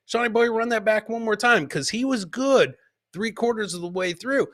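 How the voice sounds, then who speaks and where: A man with a slightly high-pitched voice hosts a comedy clip show. He comments casually, as if chatting live, and likes to repeat a phrase for comic emphasis. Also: normal speed, a bit bright.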